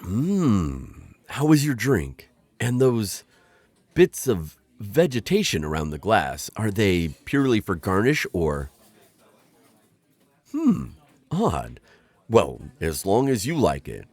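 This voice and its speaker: Casting a male actor as a stiff, formal insectoid alien hunter who hesitates and stammers, with a low, swooping voice, for a dry ASMR roleplay. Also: wildly swooping pitch, slow pace, a little mellow, breathy edge, no echo, exaggerated voice. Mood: earnest.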